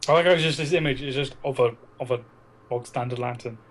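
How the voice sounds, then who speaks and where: A man's voice: medium pitch, quick pace, a little hiss or hum, poor audio. A man co-hosting a casual podcast, talking enthusiastically and bantering with some swearing. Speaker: podcast co-host.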